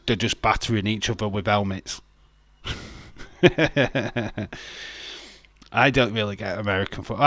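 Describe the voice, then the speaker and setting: A middle-aged Yorkshire man talks his way through a livestream in an unhurried, rambling drawl, chuckling often and stumbling over his words. His voice sits a little low for a man. The sound is close and dry, with no room around it. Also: fairly bright tone, no room sound. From Yorkshire, England. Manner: rambling, laughs often, frequent restarts and filler. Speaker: livestream host. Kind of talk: casual watch-along chat, reading viewer comments.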